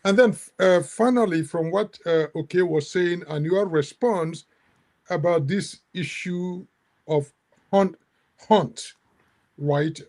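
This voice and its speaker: A middle-aged man moderating an academic discussion, halting, with many restarts and fillers. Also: high-pitched, a little mellow. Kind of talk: academic discussion on a video call.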